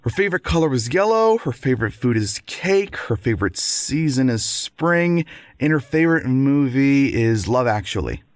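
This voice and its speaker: A man plays an eager, devoted boyfriend contestant in an audio comedy. His confident mid-range voice is very animated and dry in sound. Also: medium pitch, normal speed, no room sound, close to an everyday voice.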